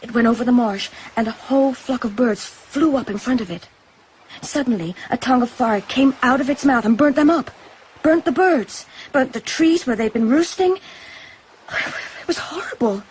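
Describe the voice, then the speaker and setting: Actress as a defiant, high-voiced young island woman. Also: moderate pace, slight background noise, close to an everyday voice, poor audio. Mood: haunted, intense. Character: wary, defiant young island woman who collects shells. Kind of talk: radio drama acting.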